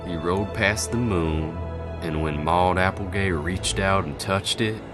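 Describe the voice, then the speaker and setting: Deep-voiced storyteller over music. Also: male voice, unhurried. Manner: folksy, colloquial tall-tale delivery. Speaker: narrator. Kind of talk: folksy scripted storytelling for radio drama.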